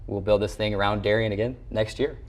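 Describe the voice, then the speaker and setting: Man, low voice, sports show host, conversational interviewing. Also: steady tone, fairly quick.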